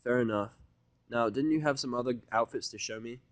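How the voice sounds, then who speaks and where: Brief: male reader as blunt, grudging cynic in a fan fiction drama, medium pitch, close dry sound. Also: brisk, no echo, natural voice. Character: gruff, cynical, blunt human living reluctantly among ponies.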